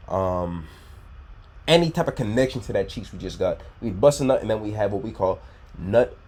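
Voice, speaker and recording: A man's voice: lowish voice, darkish tone. A man hosting a reaction video, giving blunt, profane, preachy dating advice in a casual way.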